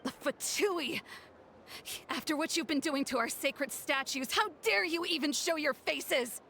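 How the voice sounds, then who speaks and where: A woman's voice: sits high, a little slow, creaky, bright, forceful tone, a little hiss or hum, exaggerated voice. A woman playing an indignant, earnest young devotee in a video game, speaking emphatically. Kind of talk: video game character acting.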